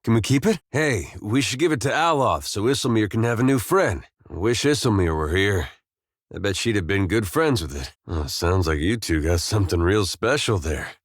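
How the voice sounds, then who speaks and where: An actor playing an easygoing, loyal farmer turned soldier in a video game, with laid-back folksy phrasing and dry humour. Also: male voice, fairly low pitch, expressive, moderate pace, dry recording. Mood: wry, teasing. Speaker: video game companion character. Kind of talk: game dialogue and battle barks.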